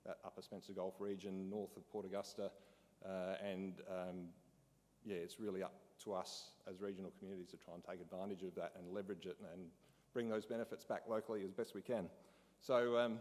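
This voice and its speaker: A business owner giving an informal talk at a briefing, halting and full of fillers. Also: male voice, low-pitched, level tone, darkish tone. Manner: casual, halting, many fillers and restarts. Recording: long hall reverb.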